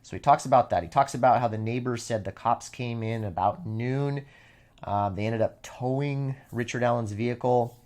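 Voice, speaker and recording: A man hosting a true crime show, giving informal commentary in a halting way, repeating words and checking in with short tag questions. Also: medium pitch, a little mellow. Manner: halting, repeats words, seeks assent with "right?" and "okay?". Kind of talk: informal case commentary, partly reading from notes.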